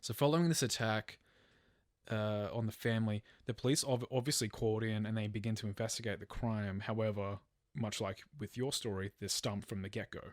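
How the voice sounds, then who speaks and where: A man's voice: lowish voice, fairly even intonation, a little fast, no room sound, studio quality. A man telling a true crime story as a podcast host, partly from a script. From Australia.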